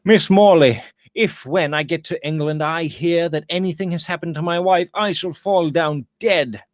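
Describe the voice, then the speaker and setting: A man's voice: a little high, moderate pace, no room sound, clean studio sound, voice pushed up, lightly acted. A man reading the part of a brooding, agitated gold prospector in an audiobook, with dramatic, impassioned delivery. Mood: fearful.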